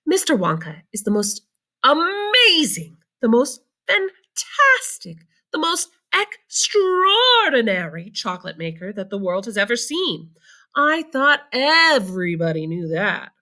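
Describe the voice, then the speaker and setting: A woman voicing an excitable, boastful old grandfather who booms and stretches out his words in exclamations. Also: brisk, gravelly, slightly rough, full character voice. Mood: exclaiming. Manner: booming, exclaiming, stretched emphasis.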